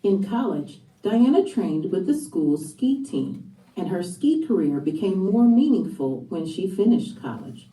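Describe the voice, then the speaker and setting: A woman's voice: on the low side, big pitch swings, measured pace, dark tone, roomy sound, recorded from a distance, rough recording. A woman reading a biography aloud as scripted narration.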